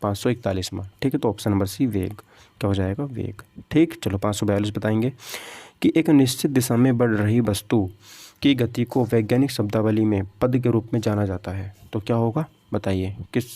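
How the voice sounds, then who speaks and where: A man's voice: fairly low pitch, normal speed, dark tone, no echo. A man teaching a lesson through practice questions, repeating himself and answering his own questions. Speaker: physics teacher for exam coaching.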